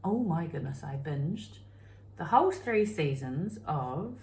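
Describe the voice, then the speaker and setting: A woman with a New Zealand accent, co-hosting a craft podcast, telling stories in a lively, exclaiming way. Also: deep voice, slow, roomy sound. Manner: animated storyteller, laughs and exclaims often. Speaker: podcast co-host. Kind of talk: casual chat.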